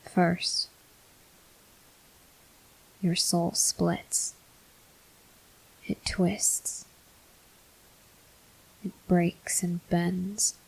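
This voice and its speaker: Woman as a husky, whispering, brooding vampire soldier. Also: lowish voice, level tone, a little mellow, exaggerated voice, rough recording. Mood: bleak, brooding. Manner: slow, halting, grave delivery. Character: brooding male vampire soldier, weary, stern, tormented. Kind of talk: ASMR roleplay, slow dramatic monologue.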